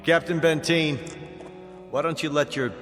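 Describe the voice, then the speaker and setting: An actor playing a reasonable, authoritative space colonel in a radio drama, plain-spoken and measured. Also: male voice, on the high side, steady tone, fairly bright tone, soft background music. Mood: earnest, persuasive. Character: firm, reasonable male colonel leading a space rescue mission.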